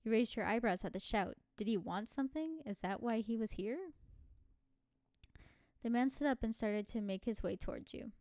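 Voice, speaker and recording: A woman's voice: fairly high pitch, expressive, moderate pace, dark tone, dry recording, studio quality. A woman playing a story game, reading the narration aloud and adding casual, giggly asides. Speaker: video game streamer.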